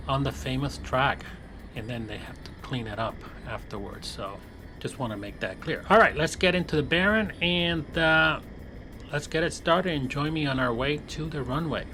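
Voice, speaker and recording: A man casually narrating his simulated flight, relaxed, with many fillers and restarts. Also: medium pitch, background noise. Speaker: flight sim streamer. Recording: music playing behind.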